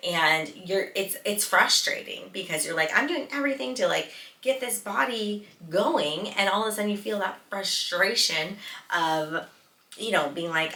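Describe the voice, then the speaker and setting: A woman's voice: medium pitch, vocal fry, sharp tone. A woman in her late thirties hosting a solo podcast, talking informally and emphatically, repeating words for stress. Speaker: podcast host, physical therapist. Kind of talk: casual solo podcast talk. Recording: roomy echo, distant from mic.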